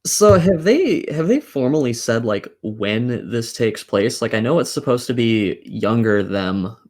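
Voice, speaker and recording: A man's voice: medium pitch, animated, a little slow, darkish tone. A man hosting a casual gaming podcast, opinionated and swearing freely as he talks. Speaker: podcast host.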